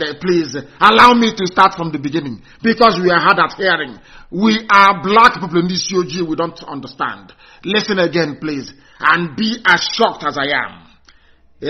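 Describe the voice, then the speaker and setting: Fired-up guy ranting on a live stream, kinda high voice, muffled lo-fi sound. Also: slightly rough. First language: Igbo. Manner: fervent and agitated, crying out exclamations and rhetorical questions. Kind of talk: impassioned live-stream address.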